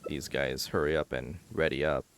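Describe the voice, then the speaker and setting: A man's voice: extremely low pitch, steady tone, normal speed. A man talking casually as he streams a video game, commenting live on what he is doing.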